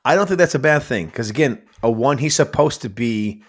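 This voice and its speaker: Brief: male podcast guest, mid-pitched, very lively intonation, loose and profane, jokey. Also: brisk. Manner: animated, swears freely, laughs at own jokes. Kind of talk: casual movie chat.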